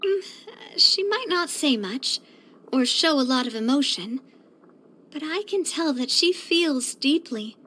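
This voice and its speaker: A woman voicing a bright, earnest, sociable young female leader in a video game, chatty and expressive. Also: high voice, a little slow, full character voice. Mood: tender, reflective. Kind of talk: video game character voice lines.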